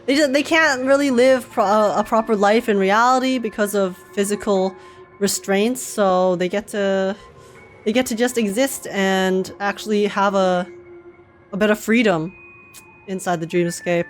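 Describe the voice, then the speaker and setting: A woman's voice: mid-range voice, fairly even intonation, a little slow, faint music behind. A woman streamer giving casual live reaction commentary in an informal, chatty, slangy way.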